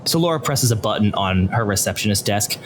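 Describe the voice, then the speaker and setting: A man narrating a tabletop game as game master, describing scenes in a relaxed, descriptive way. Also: fairly low pitch, fairly quick, background noise.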